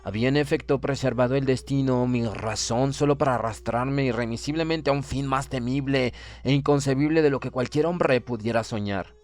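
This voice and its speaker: A man reading a literary horror tale as an audiobook narrator, in a measured, formal and solemn dramatic delivery. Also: medium pitch, a little mellow.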